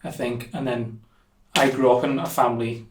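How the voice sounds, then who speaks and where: A man's voice: mid-range voice, little pitch movement, normal speed, slight background noise. A young man with a Liverpool accent chatting casually as an interview guest, rambling with many fillers. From Liverpool, England. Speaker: musician guest. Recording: echoey room, somewhat distant mic.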